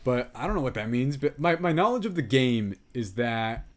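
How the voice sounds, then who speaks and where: A man's voice: medium pitch, sing-song, moderate pace. A man co-hosting a film review podcast, chatting casually in an opinionated, exaggerated way, with some swearing. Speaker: podcast co-host.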